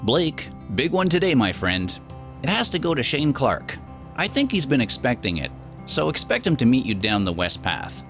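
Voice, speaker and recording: A man's voice: mid-range voice, wildly swooping pitch, moderate pace, a bit bright. A man voicing a chummy, encouraging small-town mailman in a video game. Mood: friendly, brisk. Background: background music.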